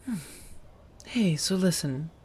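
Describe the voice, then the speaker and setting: A woman acting as an affectionate female vampire roommate in an ASMR roleplay. Her voice is low and husky, with soft breath around the words. She speaks intimately to one listener, teasing gently and looking after them. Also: lively intonation, heavily acted. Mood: tender, concerned. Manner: gently teasing, drawn-out phrasing, soft chuckles.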